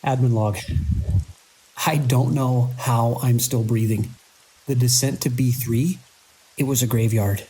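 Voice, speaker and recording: An actor playing a beleaguered, idealistic hospital director in a horror audio drama, speaking earnestly and urgently in halting phrases. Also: male voice, mid-range voice, steady tone, mellow, slightly rough, poor audio. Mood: shaken, haunted.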